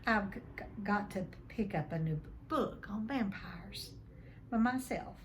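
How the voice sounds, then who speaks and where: A woman's voice: mid-range voice, animated, unhurried, a little hiss or hum, natural voice. A woman voicing a timid young male professor who stammers heavily and mutters in a read-aloud story. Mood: nervous, stammering. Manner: heavy stutter, muttering.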